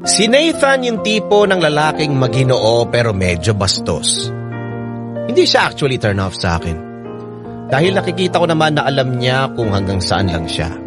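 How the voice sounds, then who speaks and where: Actor as young male narrator, mid-pitched, expressive, reflective, confiding, radio drama, loud music underneath. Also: moderate pace, close to an everyday voice. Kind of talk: scripted first-person drama narration.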